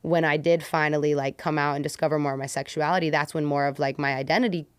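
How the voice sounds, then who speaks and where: Female podcast guest, quick slangy storyteller, dry close recording. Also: low-pitched, steady tone, clean tone, no echo. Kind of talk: casual personal storytelling.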